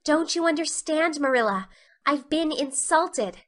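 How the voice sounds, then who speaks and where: A woman's voice: high voice, lively intonation, unhurried, fairly bright tone, voice pushed up. A woman voicing a proud, stubborn young schoolgirl with an earnest, melodramatic manner in an audiobook. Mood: wounded stubbornness. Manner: earnest, dramatic. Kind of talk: audiobook character dialogue.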